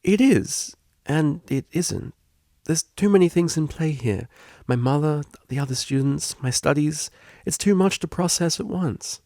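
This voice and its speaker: Storybook young pony mare, played by a man: lifted pitch, formal, hesitant, anxious, close dry sound. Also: unhurried, dark tone, dry recording. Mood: overwhelmed. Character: young female pony cellist, polite, anxious, earnest. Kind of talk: fan fiction audiobook character reading.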